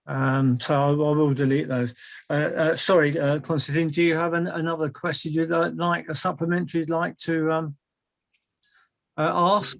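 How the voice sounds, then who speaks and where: A man's voice: a little high, level tone, vocal fry, no room sound. Dry-sounding man moderating a webinar. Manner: brisk, with frequent fillers. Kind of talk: moderating an online Q&A. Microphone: video call.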